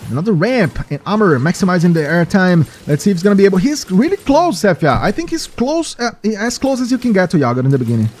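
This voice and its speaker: A man from Brazil with a Brazilian Portuguese accent, casting a live esports racing match with chatty, energetic hype for the audience. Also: high-pitched, fast, few pauses. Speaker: esports caster.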